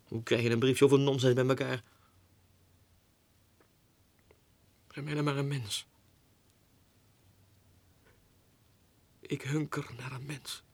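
A man's voice: mid-range voice, vocal fry, breathy edge, full character voice. An actor playing a lovesick, scornful madman who mutters and exclaims theatrically to himself in a 1960s radio drama. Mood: anguished, exasperated. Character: lovesick madman, agitated and scornful, reading letters aloud.

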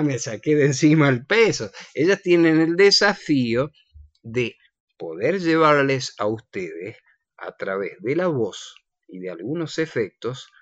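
A man's voice: medium pitch, a bit bright, no echo. Muffled sound, man, radio host, playful casual chat, dry acoustic.

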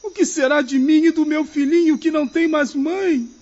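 A man playing a despairing, grief-stricken young widower and father in a radio drama, speaking slowly in anguish. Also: very high voice, a little mellow. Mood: grieving, despairing. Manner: slow, anguished delivery. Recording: muffled, lo-fi radio play audio.

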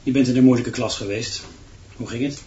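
A man's voice: medium pitch, steady tone, fairly quick, warm tone, lightly acted. A man playing a wry, musing schoolteacher colleague in a radio drama, making short dry remarks. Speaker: actor in a radio play. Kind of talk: conversational radio drama acting. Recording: dull, echoey room.

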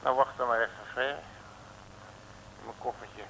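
An actor playing a brash, cheeky detective with colloquial, slangy bluster in a 1960s radio comedy. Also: male voice, medium pitch, steady tone, slow pace, creaky, pushed and bright, full character voice. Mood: brash, impatient. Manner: colloquial slangy bluster, calls himself uncle. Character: brash, cheeky, big-spending male detective, streetwise and jovial. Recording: noisy old 1960s radio recording.

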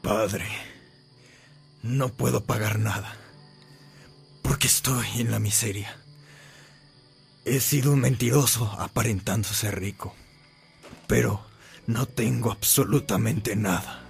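An actor playing a weary, repentant dying swindler who has lost everything, in a radio drama. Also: male voice, on the low side, fairly even intonation, slow, gravelly, long pauses, soft background music. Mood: weary, confessing.

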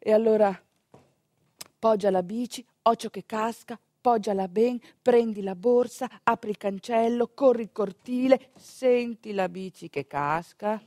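Female stage storyteller, northeastern Italian accent, highish voice, expressive and conversational, very dry sound. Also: a little slow, no room sound. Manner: expressive, conversational, with rhetorical questions and asides. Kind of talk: theatrical monologue storytelling.

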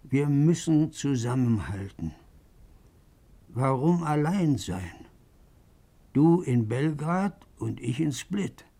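A man's voice: medium pitch, expressive, slow pace, creaky, pauses often, warm tone, slightly rough, exaggerated voice. An actor playing a lonely old father who reads out his pleading, longing letter to his daughter in a 1950s German radio drama. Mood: tender longing. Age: older.

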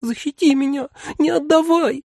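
A terrified little living mannequin from a storybook, pleads and wails in a very high, shrill child's squeal. The voice is thin and dry, pushed far up into falsetto. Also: male voice, moderate pace, gravelly, mellow, no room sound. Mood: terrified pleading. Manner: pleading, wailing.

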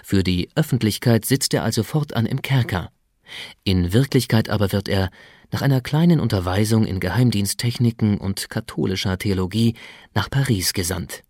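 A man narrates a radio drama in a fairly low voice, recorded very dry. His delivery is measured and factual, that of a scripted announcer setting out the story. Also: darkish tone, no echo.

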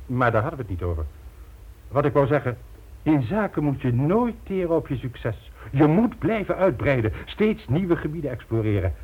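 An actor in a radio play voicing a suave, ruthless criminal mastermind, smug and polished, coolly condescending. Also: male voice, mid-range voice, very animated, moderate pace, slightly rough. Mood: smug, expansive. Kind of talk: Dutch radio play acting. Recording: dull lo-fi old radio recording.

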